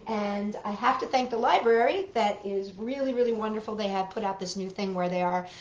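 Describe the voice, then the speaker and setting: A middle-aged woman hosting a children's storytime, with an expressive, storytelling way of talking, recorded in a living room. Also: mid-range voice. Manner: animated, expressive, deliberate phrasing when reading. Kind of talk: storytelling for children, talk and picture-book reading. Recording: roomy echo, dull lo-fi sound.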